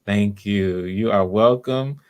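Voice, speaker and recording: A man's voice: fairly low pitch, clean tone. A man hosting auditions on a live video call, chatting casually and encouragingly, often repeating himself as he goes. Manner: effusive encouragement, repeats phrases, halting. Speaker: audition host, writer-director. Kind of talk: casual live-stream chat.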